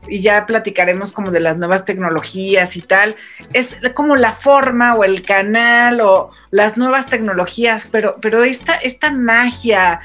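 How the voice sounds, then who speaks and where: A woman's voice: a little high, a bit bright. A woman broadcaster with a Mexican Spanish accent talks enthusiastically on a panel, her thoughts a little rambling. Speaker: radio broadcaster guest. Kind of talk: panel conversation.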